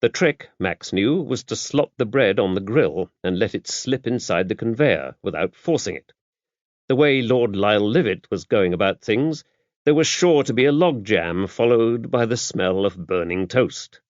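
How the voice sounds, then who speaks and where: Lowish-voiced British male audiobook narrator. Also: lively intonation, moderate pace, dry recording, lo-fi sound. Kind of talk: scripted narration of a mystery novel.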